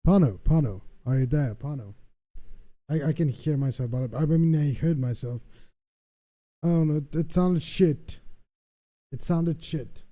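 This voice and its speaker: Streamer man with a very dark voice. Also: a little high, measured pace, pauses often. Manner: frustrated, swearing, thinking aloud. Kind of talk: casual muttering while testing audio settings.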